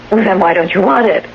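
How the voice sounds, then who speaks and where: An unflappable nurse in an old radio play, polite and gently teasing as she coaxes. Her intonation is very lively, and the recording is dull with a faint hiss. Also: mid-range voice. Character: brisk, unflappable, cleverly coaxing female nurse. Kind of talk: radio drama acting.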